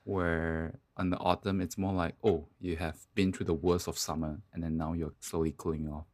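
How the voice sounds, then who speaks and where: A man's voice: low voice, little pitch movement, normal speed, a little mellow, dry recording. A man, co-hosting a podcast in casual, conversational talk. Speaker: podcast co-host. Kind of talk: casual chat.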